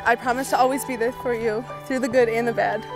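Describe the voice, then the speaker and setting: A woman's voice: a little high, steady tone, fast. A young woman, a bride, tenderly giving heartfelt wedding vows at an outdoor ceremony. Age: young adult. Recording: music under the voice. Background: music.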